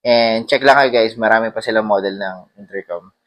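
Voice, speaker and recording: A man with a fairly low voice talks in a casual vlog style. He reviews informally, rambling a little and pausing on hesitations as he mixes in English. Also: a bit bright. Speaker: motorcycle vlogger.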